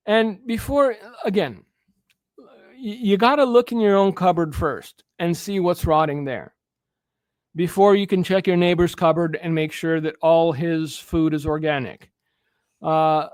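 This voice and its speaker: High-voiced man preaching on air. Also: smooth, no echo, clean studio sound. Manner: earnest, preachy, with stammered restarts. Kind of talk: preaching commentary.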